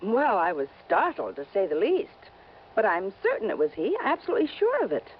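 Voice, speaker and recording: A woman's voice: medium pitch, huge pitch swings, fairly quick. A woman playing an earnest, flustered young witness in a 1950s radio drama. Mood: earnest, insistent. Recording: dull, faintly noisy old radio recording.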